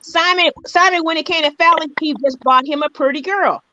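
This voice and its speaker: A woman's voice: sits high, a little fast, pushed and bright, slight background noise. A woman gossiping bluntly on a video call, repeating herself and using slang. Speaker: co-host on a call-in video chat. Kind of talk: casual gossip chat. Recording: slightly dull video call audio.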